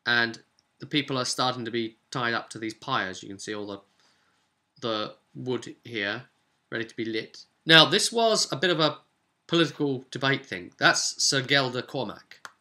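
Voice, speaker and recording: A man's voice: mid-range voice, lively intonation, normal speed, sharp tone. A man informally retelling a tabletop role-playing session as its game master, slipping characters' remarks into the story. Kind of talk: informal storytelling recap to camera.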